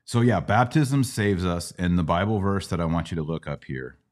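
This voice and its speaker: A man's voice: low-pitched, lively intonation, moderate pace, darkish tone. A middle-aged man hosting a casual live question-and-answer show, teaching step by step with questions and simple analogies. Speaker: podcast host answering Bible questions.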